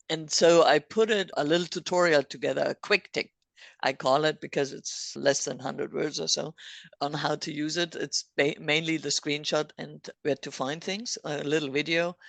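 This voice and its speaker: A woman's voice: low voice, no echo. A woman podcast host, not a native English speaker, chatting casually and explaining things step by step with frequent laughs. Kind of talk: casual technical chat.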